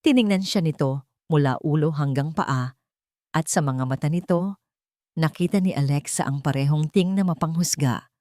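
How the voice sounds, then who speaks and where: A woman's voice: deep voice, moderate pace, mellow, no room sound, clean studio sound. A woman reading a scripted romantic drama as a storyteller.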